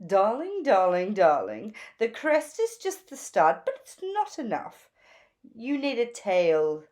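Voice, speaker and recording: Flamboyant female bird stylist for a storybook, played by a woman: bossy, gushing, high and slow, stretching key words. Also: wildly swooping pitch, a little mellow, exaggerated voice. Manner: theatrical, gushing, drawn-out emphatic words. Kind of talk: children's storybook character voice.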